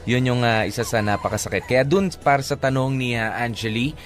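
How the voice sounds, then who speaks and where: A man with a Filipino accent co-hosting a radio advice show, talking casually with many halting pauses and some English. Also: mid-range voice, faint music behind. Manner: halting, filler-heavy, mixes in English. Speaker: radio co-host. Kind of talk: casual radio advice talk, reading listener comments.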